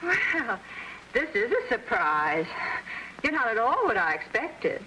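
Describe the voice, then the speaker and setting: A stammering high-voiced young woman. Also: big pitch swings, takes it slowly, pushed and bright, slight background noise, exaggerated voice, rough recording. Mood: flustered. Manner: stammering, wheedling. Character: flustered young woman, pleading and coy. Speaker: job applicant. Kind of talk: 1940s film acting. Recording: 1940s film soundtrack.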